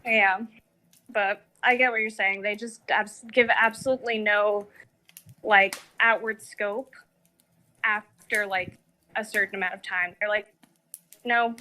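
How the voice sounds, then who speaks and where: A woman's voice: mid-range voice, steady tone, a little slow, pauses often, pushed and bright. A woman giving sardonic opinions in a casual conversation, often paraphrasing others with mocking mimicry. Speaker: guest co-discussant. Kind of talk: casual opinionated chat about a TV series.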